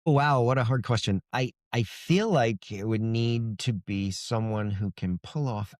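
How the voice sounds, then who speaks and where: A man's voice: medium pitch, measured pace, no room sound, clean studio sound. A man speaking conversationally as an author in an interview, explaining how he writes. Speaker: author being interviewed about his book.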